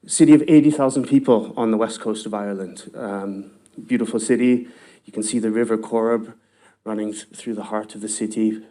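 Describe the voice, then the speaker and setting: A man's voice: on the low side, warm tone. A male university researcher giving a conference presentation, talking hesitantly with lots of ums, restarts and trailing phrases. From not from Galway.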